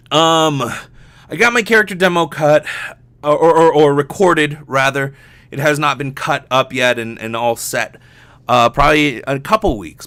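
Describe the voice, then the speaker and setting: Animated, rambling man, dry close sound. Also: mid-range voice, no room sound. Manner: animated, rambling, profane, self-interrupting. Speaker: podcast host, voice actor. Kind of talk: casual solo podcast chat.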